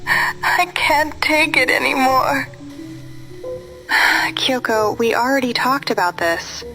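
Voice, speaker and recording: A woman's voice: high-pitched, lively intonation, slow, pushed and bright, faint music behind, exaggerated voice. A woman acting a distressed young woman in a hesitant, subdued late-night phone call from a horror drama. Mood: sad, uneasy.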